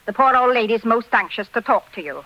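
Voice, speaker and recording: A woman's voice: a little high, lively intonation, brisk. An actress playing a sour, disapproving village inn woman in 1940s radio drama style. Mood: businesslike. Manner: sharp, disapproving. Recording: dull 1940s radio recording.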